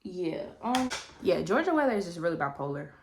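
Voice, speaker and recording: A woman's voice: on the low side, fairly quick, slight background noise. A woman around twenty, a little congested, chatting casually and rambling while doing her makeup for a vlog Q&A. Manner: rambling, relaxed, heavy use of "like" and "you know what I mean". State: slightly congested, coming down with a cold. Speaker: vlogger. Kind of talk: casual get-ready-with-me Q&A chat.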